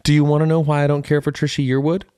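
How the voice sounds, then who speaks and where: A man's voice: a little high, level tone, very fast, darkish tone, clean tone, dry recording, clean studio sound. A man co-hosting a podcast in casual banter, riffing in a mock-serious comedic way. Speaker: podcast co-host.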